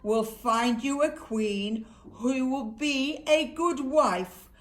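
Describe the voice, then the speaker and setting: A woman voicing a bossy, scheming royal adviser, forceful and theatrical, in a rhyming children's puppet show. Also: sits high, takes it slowly. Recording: music behind the voice.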